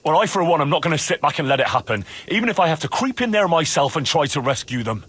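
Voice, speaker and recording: An actor playing a stubborn, righteous young hero in a video game, arguing insistently. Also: male voice, a little high, very animated, fast, a bit bright, husky, exaggerated voice. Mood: indignant. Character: earnest, indignant, stubborn young male hero. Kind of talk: video game cutscene acting.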